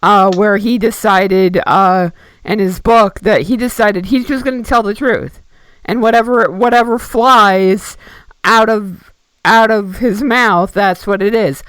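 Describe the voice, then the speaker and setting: Halting female co-host with a dry sound. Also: mid-range voice, steady tone, fairly bright tone, clear, resonant tone, no room sound, low-quality recording. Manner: halting, rambling, repeating words. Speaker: radio co-host. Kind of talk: casual interview chat.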